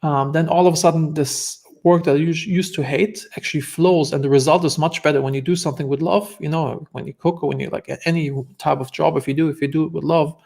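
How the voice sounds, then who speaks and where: A man's voice: a little high, steady tone, quick pace, creaky, few pauses, darkish tone. A man with a German accent, a guest entrepreneur telling stories in an earnest, reflective way during a casual interview.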